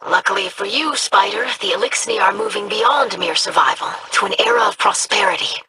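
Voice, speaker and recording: A woman's voice: mid-range voice, expressive, fairly quick, over music, close to an everyday voice, poor audio. A woman voicing a scholarly, idealistic young alien scribe in a video game, speaking formally and precisely. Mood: proud, pointed. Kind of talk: video game character dialogue.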